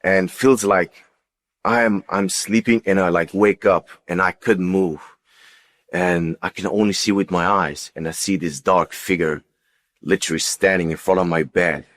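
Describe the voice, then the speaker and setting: A man who speaks as a tells his personal story as an interview guest, in a halting, unscripted way with repeated words and phrases. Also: deep voice. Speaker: interview guest giving testimony.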